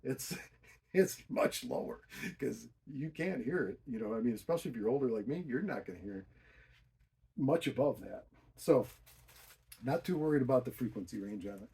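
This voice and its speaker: A man's voice: medium pitch, lively intonation, moderate pace, creaky, dark tone, husky. A middle-aged man giving a casual, unscripted DIY explanation, thinking aloud in a rambling, self-deprecating way. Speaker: DIY hi-fi hobbyist presenter.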